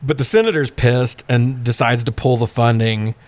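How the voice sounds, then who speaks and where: A man's voice: mid-range voice, normal speed, dry recording. Laughing, profane man recapping a film. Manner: profane, irreverent, often laughing through sentences. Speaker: podcast co-host recapping films. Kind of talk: casual comic film recap chat.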